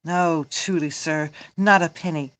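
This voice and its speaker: A woman reading a chatty, fussing female servant, a loyal nurse, in a halting amateur Shakespeare read-through. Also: low-pitched, steady tone. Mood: fussing, deferential.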